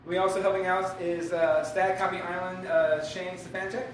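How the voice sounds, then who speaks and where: Eager, nerdy male podcast writer played by a man, high voice, distant mic in a very echoey room. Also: little pitch movement, normal speed, a crowd in the background, natural voice. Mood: eager, chatty. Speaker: podcast host. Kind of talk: scripted live comedy sketch in old-time radio style.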